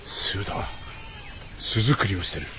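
A deep, grave leader of an adventure party. He speaks tersely but with lively inflection, in a slightly muffled mix with music behind. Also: a little slow, background noise. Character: grave, steady male leader of an expedition. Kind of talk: radio drama acting.

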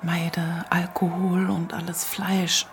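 An actress playing a composed, resolute and tender wife in a radio drama, calm and often hushed. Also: female voice, lowish voice, takes it slowly, a little hiss or hum.

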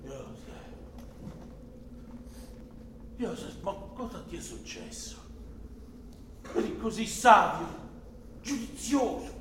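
An elderly guardian from the country, bossy and fretful, in a stage-like radio drama. His delivery is slow and emphatic, swinging between hushed and exclaiming. The room rings around him, with a faint layer of noise. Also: fairly high pitch, full character voice. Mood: grave, reproachful. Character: older, overbearing, anxious country uncle and guardian. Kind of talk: theatrical radio drama acting. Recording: roomy echo, faint background noise.

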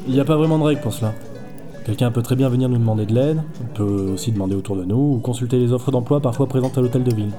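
A man's voice: medium pitch, rapid-fire, music in the background, lightly acted. Man playing a world-weary, plain-spoken mid-voiced guy. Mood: friendly, explaining. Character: disillusioned, principled male insurance adviser and gamer. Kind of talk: scripted audio-drama acting and narration.

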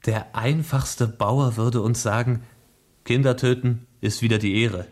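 A man playing a principled, proud revolutionary in a radio play, speaking with earnest, deliberate stage diction. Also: medium pitch, fairly even intonation, a little mellow, lightly acted. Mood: earnest conviction. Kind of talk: dramatic radio play acting.

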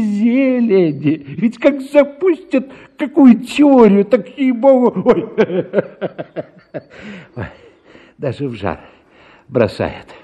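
Wildly swooping, high-pitched pushy father. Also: dark tone, breathy edge, highs cut off, full character voice. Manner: expansive, cajoling, exclamatory, repeats words. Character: pushy, wheedling father bent on marrying off his daughter. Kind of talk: theatrical radio performance of a classic Russian story.

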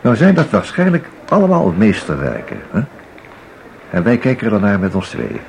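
A chatty, flustered everyman in a radio play. His very deep voice rises and falls in lively swoops as he hesitates, repeats himself and tacks on little questions. There is faint noise in the recording. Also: moderate pace, vocal fry, full character voice, poor audio. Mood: chatty, eager. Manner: hesitant, rambling, full of repetitions and tag questions. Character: chatty, flustered ordinary man, an amateur art lover. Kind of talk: Dutch radio play acting. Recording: audible background noise.

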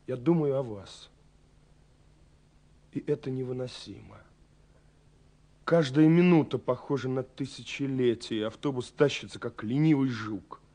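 A man's voice: mid-range voice, natural voice. Actor as anxious, dreamy young romantic man. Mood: excited, yearning. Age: young adult. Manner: agitated, halting, confiding, with swells and hushed drops. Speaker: actor in a radio play. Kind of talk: dramatic reading of a love letter, 1970s Soviet radio play.

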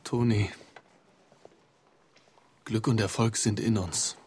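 An actor playing a melancholy middle-aged merchant senator in a radio drama, speaking in a halting, weary way. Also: male voice, fairly low pitch, fairly even intonation, darkish tone, slight background noise. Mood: brooding, weary. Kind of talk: German radio drama acting.

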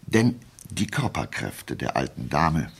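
A brilliant detective reasons aloud in a very deep, creaky voice. His delivery is deliberate and animated, with emphatic pauses and a self-assured, pedantic air. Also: heavily acted. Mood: methodical reasoning. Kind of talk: German radio play acting.

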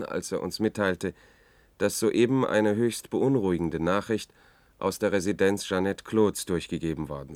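An actor playing a troubled news editor, recounting events in a measured, reflective way in a 1970s German radio drama. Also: male voice, fairly low pitch, no room sound, close to an everyday voice. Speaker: radio play narrator.

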